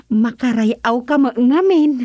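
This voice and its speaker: A teasing young Ilocano daughter in a radio comedy. Her high voice swoops up and down with drawn-out exclamations, unhurried and recorded close and dry. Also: dark tone, no echo, lo-fi sound, heavily acted. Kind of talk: radio comedy drama acting.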